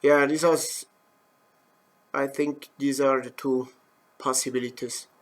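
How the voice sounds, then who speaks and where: A man's voice: mid-range voice, flat delivery, slow pace. A middle-aged man from German-speaking Switzerland with a Swiss German accent, a professional wood turner hosting a live-stream demo from his workshop in English, his third language, with many fillers and self-corrections.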